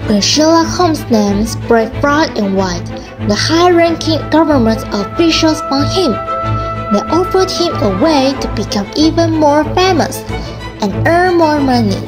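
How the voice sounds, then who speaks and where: A woman's voice: high-pitched, lively intonation, a little slow, over music. A woman delivering scripted narration for a radio drama.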